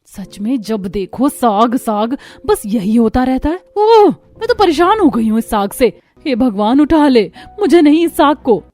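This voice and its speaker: A woman's voice: sits high, big pitch swings, a little slow, a little mellow. A woman voicing an exasperated, sly young daughter-in-law in a cartoon story, complaining dramatically. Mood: angry, fed up. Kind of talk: Hindi cartoon story acting.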